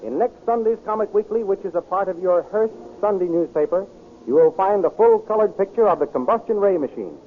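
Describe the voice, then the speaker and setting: A man announcing in 1930s radio style, brisk and enthusiastic. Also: high-pitched. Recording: tinny 1930s radio transcription with hiss.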